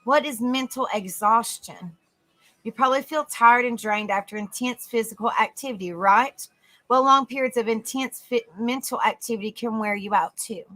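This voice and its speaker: A woman hosting a casual livestream, chatting softly with viewers by name and reading notes aloud. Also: a little high, normal speed, rough recording. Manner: soft, easygoing, chats with viewers by name. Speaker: livestream host.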